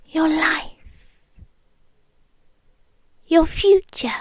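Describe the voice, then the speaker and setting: Casting: woman to play possessive British vampire girlfriend for ASMR roleplay. High, hushed, breathy voice, very slow delivery, lovesick and ancient. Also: no room sound, studio quality. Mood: yearning, obsessive. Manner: hushed, breathy, slow and lingering, often whispering. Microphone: close microphone, dry.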